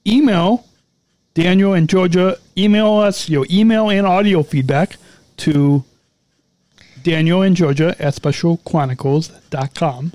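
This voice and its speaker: Male podcast co-host, high mellow voice, dry close sound, cheerful rambling sign-off. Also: fairly even intonation, a little slow, no echo. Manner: repetitive, stumbling, upbeat.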